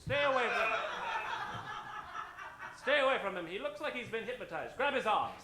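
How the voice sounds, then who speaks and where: A man's voice: sits high, animated, normal speed, very noisy. A man playing a bossy, self-assured adventurer and code breaker in a melodramatic parody of old-time radio. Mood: urgent. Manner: melodramatic, exclamatory. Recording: roomy stage sound with music behind.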